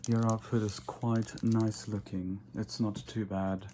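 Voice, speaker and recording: A man playing a vain, condescending yet flirtatious vampire in a soft-spoken, nonchalant ASMR roleplay. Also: fairly low pitch, flat delivery, noisy background, close to an everyday voice. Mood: approving, soft. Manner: soft-spoken, unhurried, repeats words in whispers.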